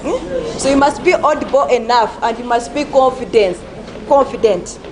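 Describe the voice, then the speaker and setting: Woman, high voice, school debate judge, halting, repeats words, faint noise behind. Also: very animated, a little mellow, a faint crowd, poor audio. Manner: slow, halting, many fillers and repeats. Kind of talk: reading scores and advising pupils. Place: school assembly.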